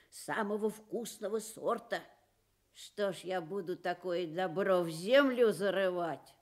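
A lazy, boastful wild boar from a radio fairy tale, acted out by a woman. He muses slowly and smugly, with a very animated swing in the voice. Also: lowish voice, heavily acted. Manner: musing, boastful. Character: lazy, self-satisfied male wild boar.

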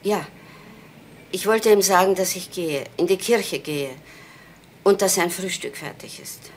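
Guarded, low-voiced housewife. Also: female voice, measured pace, a little hiss or hum. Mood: subdued. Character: guarded housewife, mother, questioned by police. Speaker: actress in a radio crime play. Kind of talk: German radio crime drama acting.

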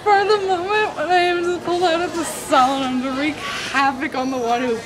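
A woman voicing a brooding, boastful, tipsy male knight from a romance novel, in a grandiose, drawn-out manner. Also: sits high, steady tone, voice pushed up, close to an everyday voice. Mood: grandiose, vengeful. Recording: busy public space with loud crowd murmur.

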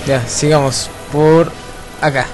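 High-pitched Chilean man hosting gameplay. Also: steady tone, takes it slowly, low-quality recording. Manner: slangy, swearing, bossy toward teammates. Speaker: gaming video host. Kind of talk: casual gameplay commentary with friends. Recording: game music and sounds behind. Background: loud video game music and effects.

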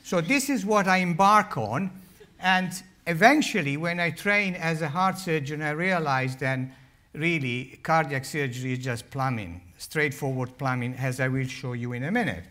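A man's voice: fairly high pitch, normal speed. An older man with an Italian accent, a heart surgeon giving a public lecture at a lectern, telling wry stories with many fillers. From Italy. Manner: animated, wry storytelling with frequent uh fillers. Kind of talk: public lecture with humorous asides. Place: large lecture hall, standing at a lectern. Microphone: lectern microphone.

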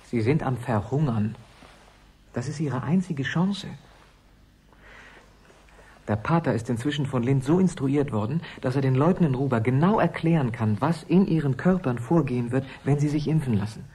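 Actor doing an earnest secretive guy in a radio drama, soft dark husky voice, pushing his point. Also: medium pitch, moderate pace, lightly acted. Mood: insistent. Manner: insistent, persuasive, earnest. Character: urgent, secretive male assistant of a dead scientist. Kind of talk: German radio play acting.

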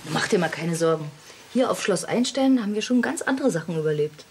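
A woman's voice: on the low side, animated, a little fast, a little mellow, lightly acted. A middle-aged woman playing a practical, reassuring member of a boarding school staff in a TV drama. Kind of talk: TV drama acting. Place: small indoor sickroom set.

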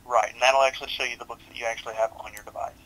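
A man's voice: mid-range voice, fairly even intonation, rapid-fire, fairly bright tone, low-quality recording. A young man working as a tech support advisor on a headset, politely and informally walking a customer through steps. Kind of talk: customer service walkthrough. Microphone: headset on a video support call.

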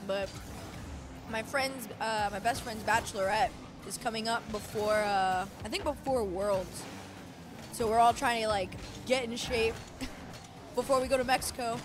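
Woman, twenties, chatty co-streamer, slightly high, game music behind. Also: measured pace. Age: 20s. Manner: chatty, reads and answers chat, excitable bursts. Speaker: esports co-streamer. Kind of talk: casual live stream chat over a match. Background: game music and car sounds.

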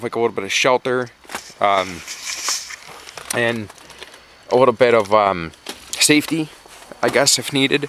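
A man talks in a casual, informal way, like someone showing viewers around his yard. He leans on words by repeating them for emphasis. His pitch is average for a man, and the handheld camera picks up some noise around him. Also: bright, forceful tone. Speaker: backyard chicken keeper and vlogger. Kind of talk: casual how-to vlog talk. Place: outdoors in a yard and inside a small wooden coop. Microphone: handheld camera, operator behind it. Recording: noisy handheld camera audio.

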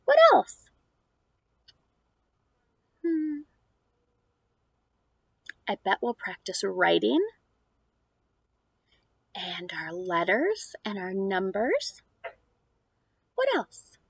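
A woman's voice: medium pitch, big pitch swings, very slow, vocal fry, pauses often, husky, no room sound. A woman in her thirties speaking as a preschool teacher to young children, in an exaggerated sing-song read-aloud delivery. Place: outdoors on a house porch.

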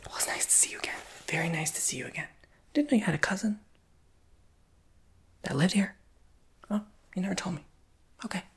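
A woman in a whispered ASMR roleplay as a shoe shop clerk, breathy and chatty, with playful, slangy asides to her customer. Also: lowish voice, a bit bright.

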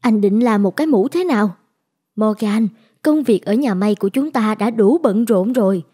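A woman playing a worried, cautious wife in an animated story, earnest and measured. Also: mid-range voice, breathy edge, natural voice. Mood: concerned. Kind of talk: dubbed cartoon dialogue.

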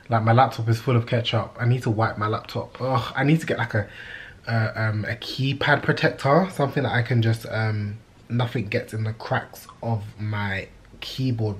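A man in his thirties with a London British accent, chatting casually and candidly in slang as a vlogger, recorded indoors on a camera mic. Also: medium pitch. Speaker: YouTube vlogger. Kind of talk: casual vlog chat. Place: indoors in a flat: kitchen, living room, bedroom.

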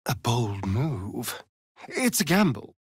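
An actor playing a sardonic, vain vampire rogue in a video game, with an arch, theatrical, drawling delivery. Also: male voice, medium pitch, very animated, takes it slowly, husky, no echo, studio quality, heavily acted. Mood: arch, amused. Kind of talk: video game character lines.

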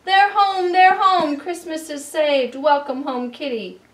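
A woman's voice: high-pitched, steady tone, takes it slowly, voice pushed up. A woman voicing a kindly, sentimental old lady from a storybook, speaking earnestly in rhyme. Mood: overjoyed. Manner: gentle, earnest, rhyming speech. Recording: roomy, distant camera recording.

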